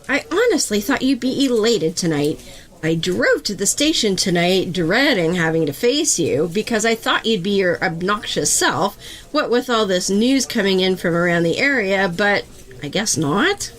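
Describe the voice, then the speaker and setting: A woman's voice: medium pitch, big pitch swings, moderate pace, close to an everyday voice. A woman playing a composed, wry local TV news anchor in a comedy podcast, speaking articulately. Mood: concerned, wry. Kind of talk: fictional comedy podcast acting.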